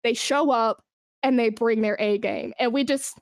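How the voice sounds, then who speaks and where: Woman podcast guest chatting casually, highish voice, really emphatic and gushing, informal, dry sound. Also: a little slow, no room sound. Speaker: podcast guest, esports brand manager. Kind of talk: casual interview chat.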